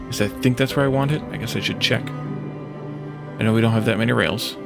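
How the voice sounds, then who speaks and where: A man hosts a casual let's play with a noticeably gravelly, fry-laden voice. He thinks aloud as he goes, halting and hesitant between decisions. Music plays clearly behind his commentary. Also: mid-range voice. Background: music in the background.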